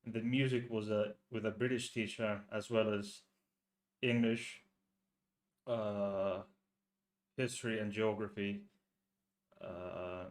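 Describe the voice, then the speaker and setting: A man from Finland hosting a casual chat, talking in a halting way full of restarts and filler sounds. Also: on the low side. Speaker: host.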